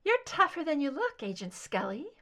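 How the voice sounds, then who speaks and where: A woman voicing a composed, mysterious female captor in a clear, soft, delicate soprano, cryptic and deliberate in audio drama style. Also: sits high, sing-song, pitched above the performer's own voice. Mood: cool, cryptic.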